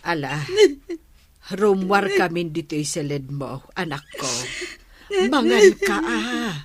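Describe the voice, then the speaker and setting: An actress playing a gentle mother coaxing her daughter and calming her husband in a radio drama. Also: fairly low pitch, takes it slowly, breathy edge, full character voice. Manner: soothing, coaxing.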